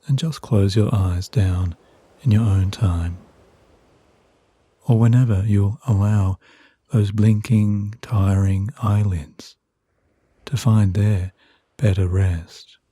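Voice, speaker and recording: A man narrating guided sleep hypnosis in a soothing, hushed, measured way with long pauses between phrases. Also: fairly low pitch, fairly even intonation, husky, dry recording.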